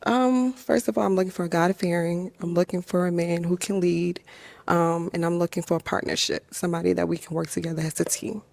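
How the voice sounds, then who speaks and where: A woman's voice: on the low side, fairly even intonation, a little fast, creaky, dry recording. A woman in her thirties from Liberia, answering questions on a dating show in short, hesitant replies. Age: 30s. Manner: brief, soft-spoken answers with hesitations.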